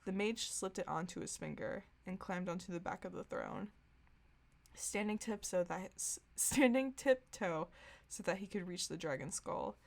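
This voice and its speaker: A woman's voice: fairly low pitch, very animated, moderate pace, creaky. A young woman co-hosting a podcast, reading aloud and chatting in an informal, amused way. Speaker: podcast co-host. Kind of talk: casual reading aloud of fan fiction and chat.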